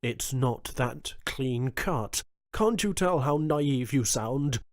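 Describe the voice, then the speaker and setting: A man voicing a calm, firm, dismissive male pirate lieutenant. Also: mid-range voice, slow pace, no room sound, studio quality. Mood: cold.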